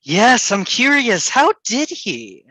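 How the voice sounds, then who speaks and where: A woman's voice: fairly high pitch, wildly swooping pitch, slow pace, pushed and bright, slightly rough, no echo, exaggerated voice. A woman playing an arrogant, teasing male poet in a period drama reading, with a mocking, theatrical drawl. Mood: skeptical, mocking.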